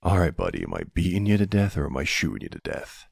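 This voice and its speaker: A man's voice: low-pitched, wildly swooping pitch, fast talker, gravelly, no room sound, full character voice. A man playing a tough, sardonic older mafia boss and protective boyfriend, threatening and streetwise, in an ASMR roleplay drama. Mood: menacing, sardonic.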